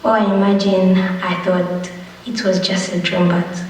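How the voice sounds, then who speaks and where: A young refugee reading a prepared account and a poem in a slow, steady way. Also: medium pitch, a little hiss or hum. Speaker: young refugee telling own story. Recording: distant voice in a reverberant hall.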